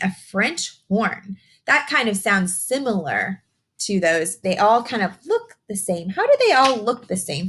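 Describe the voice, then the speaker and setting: Female primary-style teacher for a children's read-aloud: lively, swooping intonation, mid-range pitch, encouraging and full of enthusiasm. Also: slight background noise. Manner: expressive, drawn-out reading with simple questions to listeners. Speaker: teacher reading a picture book aloud. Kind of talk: read-aloud lesson addressed to young children.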